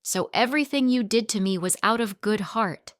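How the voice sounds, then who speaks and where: A woman reading the lines of an angry young wife, yelling and mocking her husband. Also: on the high side, moderate pace, no echo, clean studio sound, pitched above the performer's own voice, lightly acted. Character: young wife, furious and sarcastic.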